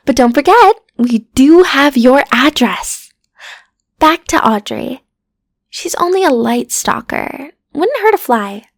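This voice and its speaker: A woman's voice: high voice, creaky, no room sound, clean studio sound, heavily acted. A woman playing a frazzled, sardonic agency matchmaker in a comedic audio roleplay, chatty and full of flippant, muttered asides to the listener. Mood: wry, flippant.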